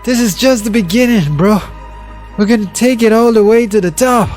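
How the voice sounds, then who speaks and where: Older-friend boxer for story drama, played by a man: gritty determination, streetwise urging, pitched up, over music. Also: normal speed, a little mellow, lightly acted. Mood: triumphant. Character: tough, determined teenage boy boxer, protective older friend.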